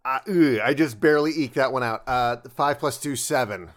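A man's voice: mid-range voice, moderate pace. A man playing a tabletop roleplaying game, telling jokes and rambling with many restarts. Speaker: player.

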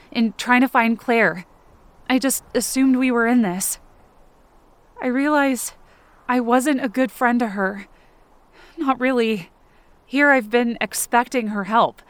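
A woman acting an anxious, self-blaming, injured character who narrates her ordeal in short, halting phrases for an audio drama. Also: on the high side, natural voice. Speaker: fiction podcast performer.

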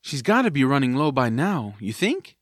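A man playing an excitable, kind-hearted young boy hero in a family audio drama, with an earnest, playful delivery. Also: medium pitch, moderate pace, no echo, clean studio sound. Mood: gentle, earnest.

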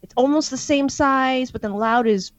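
A woman's voice: high voice, moderate pace, no echo. Female guest, chatty and meandering storyteller, dull lo-fi dry audio. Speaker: musician guest. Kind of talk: casual storytelling.

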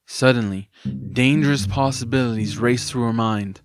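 A man narrating a scripted story in an expressive, dramatised storytelling manner. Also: mid-range voice, normal speed, no room sound, clean studio sound.

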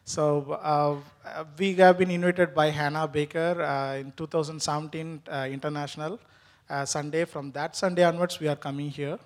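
Halting high-voiced Indian man. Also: little pitch movement. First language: Tamil. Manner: halting, many fillers, earnest and grateful. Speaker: church member giving testimony. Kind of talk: personal testimony in an interview.

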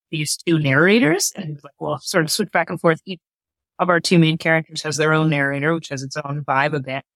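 A woman explains things casually as a guest in an interview. Her pitch is a little low for a woman. The recording is dry, with hardly any room sound. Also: no echo. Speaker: audio drama co-creator, interview guest.

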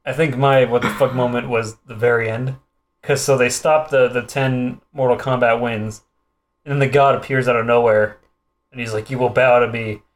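A man's voice: mid-range voice, steady tone, moderate pace. Laid-back man chatting about movies. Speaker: podcast co-host. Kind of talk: casual movie discussion.

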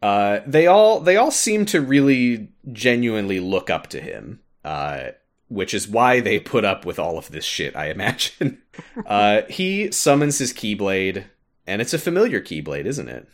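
A man's voice: lowish voice, very animated, measured pace. A man hosting a podcast, casually recapping a video game in a wry, sweary way. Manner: wry, swears casually, acts out quoted lines. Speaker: podcast host.

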